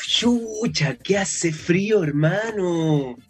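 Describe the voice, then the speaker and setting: A joking, party-loving young Chilean in a radio drama. His voice runs a little high and his talk is slangy and teasing. Faint music plays behind him. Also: lively intonation, very slow, heavily acted. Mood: chilly, casual. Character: joking, rowdy young small-town man out partying. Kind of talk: naturalistic radio drama acting.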